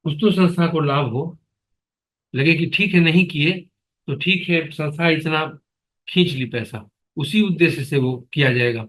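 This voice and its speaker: Guy w running a staff call, kinda high voice, stern and questioning like the boss. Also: measured pace, a bit bright, rough recording. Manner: admonishing, authoritative, questioning. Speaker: meeting chair, foundation leader. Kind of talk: addressing staff on a conference call. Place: online conference call.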